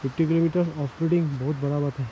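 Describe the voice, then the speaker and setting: Guy talking to his action cam on a bike trip, mellow voice, bit muffled, rambly. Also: a little high, fairly even intonation, quick pace, a little hiss or hum. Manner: chatty, loose filler-heavy talk. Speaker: motorcycle travel vlogger. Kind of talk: casual travel vlog narration. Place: outdoors on mountain roads.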